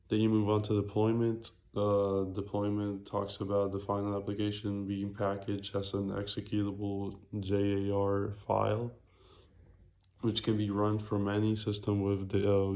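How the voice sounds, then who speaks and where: A young man presents a student project in a raspy voice with a completely flat intonation. He speaks haltingly and corrects himself as he goes, on a slightly muffled, lo-fi recording. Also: fairly low pitch. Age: young adult. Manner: hesitant, halting, self-correcting.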